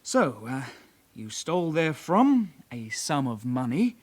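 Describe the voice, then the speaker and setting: An actor playing a young British detective constable in a radio drama, a calm sidekick with a measured, official way of talking. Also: male voice, medium pitch. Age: young adult.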